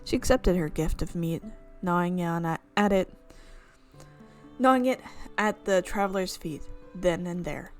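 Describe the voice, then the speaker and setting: A woman streaming a visual novel, reading the narration aloud with expressive, playful reactions. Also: fairly low pitch, measured pace, soft background music. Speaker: game streamer.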